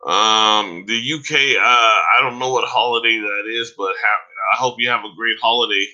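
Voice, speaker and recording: A man chatting casually on a live stream, talking to viewers by name in a loose, rambling way, with a voice starting to go hoarse. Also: fairly low pitch, moderate pace, sharp tone, muffled recording. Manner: loose and rambling, many fillers and restarts; addresses viewers by name, says "man" often. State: voice going hoarse.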